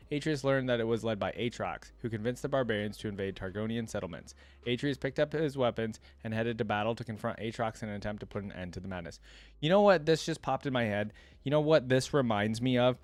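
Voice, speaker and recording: A man's voice: medium pitch, no room sound. Casual man reacting, dry close sound. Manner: conversational, reads aloud with off-the-cuff asides. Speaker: reaction video host. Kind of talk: casual reaction commentary, reading wiki text aloud.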